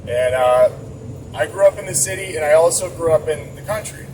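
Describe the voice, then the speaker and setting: A man's voice: on the high side, fairly quick. A man from the area, rambling casually and poking fun at himself while he drives his truck. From Boston area. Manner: rambling, chatty, self-mocking. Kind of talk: casual vlog while driving. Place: truck cab on the road. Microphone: camera mounted inside the cab. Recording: distant mic with road noise. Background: engine and road noise.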